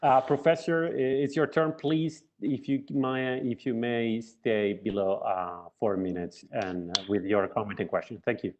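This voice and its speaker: Guy moderating a panel, polite and brisk, normal pitch, muffled call sound. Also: fairly even intonation, slight background noise. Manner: brisk, courteous, introduces speakers.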